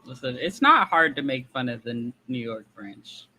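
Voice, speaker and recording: A man's voice: mid-range voice, lively intonation, moderate pace, noisy background. A man from the US East Coast bantering and teasing his friends. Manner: teasing, jokey. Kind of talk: casual banter.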